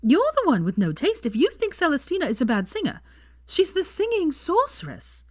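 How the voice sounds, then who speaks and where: A woman's voice: sits high, huge pitch swings, moderate pace, no room sound, studio quality, pitched above the performer's own voice. A woman voicing a drunk, haughty young woman in a storybook, whiny, indignant and theatrical. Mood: offended.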